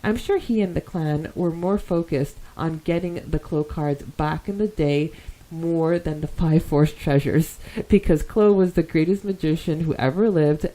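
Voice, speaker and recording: Mellow-voiced, chatty woman podcaster. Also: sits low, rough recording. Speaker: podcast co-host. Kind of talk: casual podcast talk, reading and discussing fan comments.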